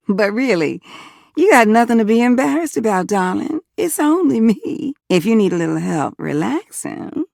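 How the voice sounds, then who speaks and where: A woman's voice: on the low side, gravelly, dry recording, clean studio sound. A woman voicing a flirty, teasing, gossipy household appliance in a video game, with a Southern US accent and sweet-talking manner. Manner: sweet-talking, teasing, drawling terms of endearment. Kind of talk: video game character voice acting.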